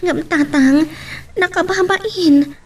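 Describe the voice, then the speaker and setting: Woman acting high-pitched, sorrowful Ilocano daughter. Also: slow, a little mellow, distant mic, faint music behind. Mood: reluctant. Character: sorrowful dutiful grown daughter torn by love. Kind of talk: Ilocano audio drama acting.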